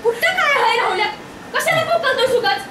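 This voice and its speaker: A woman playing a bossy, sharp-tongued rustic elder sister in a stage play. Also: very high-pitched, lively intonation, a little fast. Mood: mocking suspicion. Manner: sharp-tongued, interrogating, teasing. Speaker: stage actor. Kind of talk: amateur one-act stage drama in rustic dialect. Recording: noisy echoey stage, picked up from far off.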